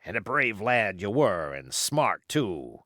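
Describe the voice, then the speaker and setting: A man's voice: on the low side, big pitch swings, slow pace, pushed and bright, no echo, clean studio sound, full character voice. A man voicing a sly, boastful, commanding pirate cook in an audiobook, with emphatic rustic seafaring talk. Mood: flattering. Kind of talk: audiobook character voice.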